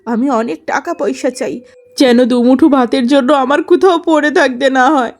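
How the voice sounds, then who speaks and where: A woman voicing a gentle, sorrowful elder sister in a melodramatic cartoon story, plaintive and self-pitying. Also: high-pitched, moderate pace.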